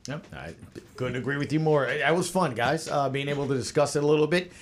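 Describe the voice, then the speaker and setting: A man's voice: a little high, quick pace. A man hosting a sports podcast in a casual, conversational style. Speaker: podcast host.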